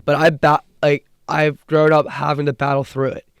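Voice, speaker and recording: Young male podcast co-host with a stutter, earnest, higher pitch, smooth resonant tone, dry sound. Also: flat delivery, normal speed, no echo. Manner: earnest, with restarts and repeated words. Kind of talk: casual sports chat.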